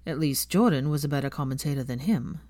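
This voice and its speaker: A woman's voice: deep voice, fast talker, mellow, dry recording, lightly acted. A woman voicing a haughty young wizard man in a story reading, scoffing and dryly sardonic. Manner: scoffing, dry.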